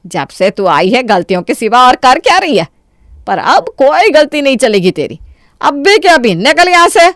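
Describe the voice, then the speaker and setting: A woman voicing a spiteful, scheming middle-aged mother-in-law in a cartoon story, with a sharp, taunting, bossy delivery. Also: high voice, big pitch swings, moderate pace, no echo, studio quality, pitched above the performer's own voice. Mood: angry scolding. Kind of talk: Hindi cartoon story dialogue.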